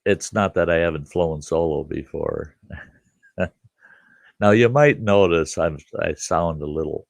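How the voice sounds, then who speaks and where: An older man with a congested voice, hosting a relaxed live chat, greeting viewers by name and rambling with frequent chuckles. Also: low voice, unhurried, gravelly, dry recording, clean studio sound. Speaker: livestream host.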